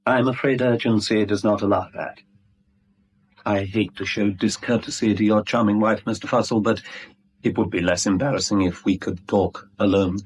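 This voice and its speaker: An actor playing a smug middle-aged blackmailer in a 1970s radio drama, suave, wordy and mockingly courteous. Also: male voice, fairly low pitch, fairly even intonation, moderate pace, natural voice, rough recording. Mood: mock-polite. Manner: suave, wordy, mock-polite, teasing.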